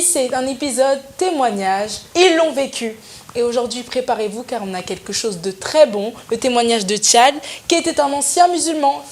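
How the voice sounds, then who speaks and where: A woman co-hosting a talk show, welcoming the guest and asking him questions. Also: sits high. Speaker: co-host.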